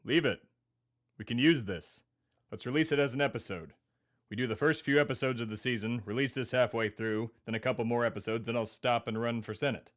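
A man's voice: mid-range voice, brisk, a bit bright, close to an everyday voice. A man playing a pompous blue-blood lobbyist and podcast host with a New England accent in a scripted comedy. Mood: scheming. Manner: pompous, indignant, declamatory.

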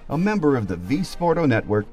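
A man's voice: mid-range voice, big pitch swings, brisk, a little mellow, soft background music. A middle-aged or older man hosting a sports podcast, delivering scripted, emphatic, opinionated commentary.

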